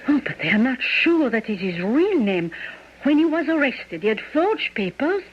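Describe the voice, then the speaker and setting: A woman's voice: high voice, very animated, normal speed, breathy edge, heavily acted. A woman playing an attentive, chatty French café worker in a radio drama, with a French accent. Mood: concerned, attentive. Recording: muffled vintage radio recording.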